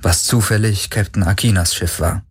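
A man's voice: low-pitched, fairly even intonation, moderate pace, husky. A man voicing a weary, wry young woman, a former runaway and swindler, in an audiobook with subdued delivery. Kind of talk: audiobook character dialogue.

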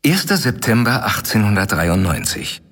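An actor playing an earnest young doctor in a radio play, measured as he reads his letter aloud. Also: fairly low pitch, very animated, a bit bright. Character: earnest young male doctor, worried friend.

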